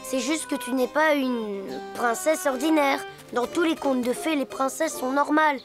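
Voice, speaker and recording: A gentle, thoughtful little boy hippo from a children's cartoon, with a high, youthful voice. He explains things patiently and kindly. Music plays behind him. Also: a little slow. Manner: patient, explaining, gallant in play. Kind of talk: animated series voice acting. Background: background music.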